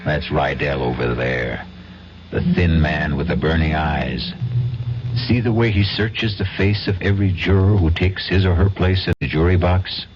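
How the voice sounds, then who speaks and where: An actor as a knowing, confiding host narrating an old-time radio mystery in a dramatic style. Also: male voice, rumbling low, moderate pace, background noise. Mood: confiding, suspenseful. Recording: muffled old radio broadcast.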